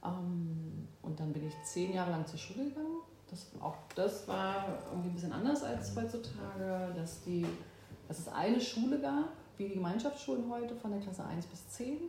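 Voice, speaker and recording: A woman in her fifties from Berlin, a guest in an interview, who talks thoughtfully with pauses and fillers. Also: mid-range voice, expressive, creaky, dark tone, slight background noise. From Berlin, Germany. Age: 50s. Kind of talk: oral history interview. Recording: echoey room.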